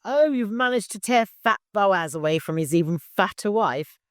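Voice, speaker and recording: A man's voice: high-pitched, lively intonation, normal speed, a bit bright, no echo, studio quality, voice pushed up. A man voicing a mischievous, teasing old storekeeper in an audiobook. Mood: gleeful. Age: older. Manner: teasing, playful, exclamatory. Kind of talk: audiobook character voice.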